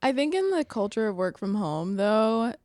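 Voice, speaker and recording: Supportive young woman, clear voice. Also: medium pitch, very animated, a little mellow, no room sound, studio quality. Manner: supportive, reflective, with a quick laugh. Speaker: podcast co-host. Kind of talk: casual chat.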